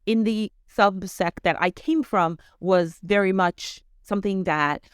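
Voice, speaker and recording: A woman's voice: mid-range voice, measured pace, clear, resonant tone, no echo, studio quality. A middle-aged woman from Canada with a North American accent, giving a conversational personal account as an interview guest. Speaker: interview guest, education advocate.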